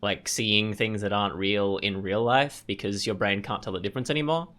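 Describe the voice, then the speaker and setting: Guy doing a chill lets play, lowish but bright voice, kinda hesitant, thinking out loud. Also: fairly quick. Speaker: gaming video host. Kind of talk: casual let's play commentary.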